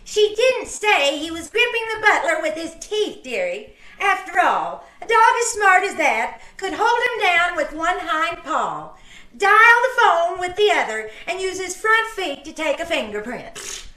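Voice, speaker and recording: A woman playing a sharp-tongued but patient wife in an old-time radio comedy, teasing and wry. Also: very high voice, big pitch swings, measured pace, heavily acted. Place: indoors on a live Zoom call. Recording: echoey room on video call.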